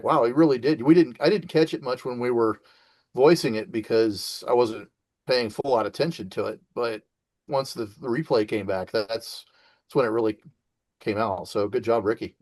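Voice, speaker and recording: A man's voice: medium pitch, normal speed, darkish tone, no room sound. A man chatting casually as a guest in a group podcast conversation. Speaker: podcast guest, radio play cast member.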